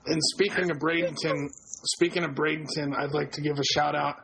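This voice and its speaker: A man's voice: on the high side, flat delivery, a little fast, gravelly, a bit bright, slight background noise, rough recording. A man chatting on a casual podcast, laughing through his words and stumbling over restarts.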